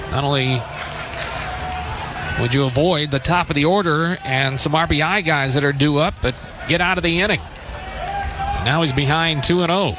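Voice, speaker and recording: A man doing live radio baseball play-by-play, unhurried between pitches and lifting his delivery when there is action. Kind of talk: live radio sports commentary. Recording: clearly noisy outdoor broadcast ambience.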